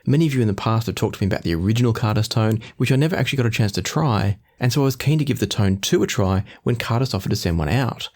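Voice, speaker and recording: A man's voice: lowish voice, expressive, rapid-fire, hardly any pauses, darkish tone. A man presenting a product review, explaining the gear in a conversational, walkthrough way.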